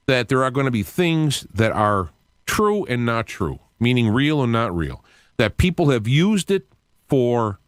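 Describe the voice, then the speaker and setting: Older male radio host, mid-pitched, thinking aloud earnestly in halting phrases, dry close studio sound. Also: no echo. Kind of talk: unscripted radio monologue. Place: cluttered home radio studio, indoors. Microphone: close studio microphone with headset.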